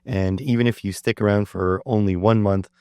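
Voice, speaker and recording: A middle-aged man with a low, smooth voice that is slightly hoarse today hosts a solo podcast. He explains things in a rambling way, full of uh and um, and he sounds close and dry with no room echo. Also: steady tone, moderate pace, a little mellow. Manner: rambling, with frequent uh and um restarts. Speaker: podcast host. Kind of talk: solo educational podcast talk.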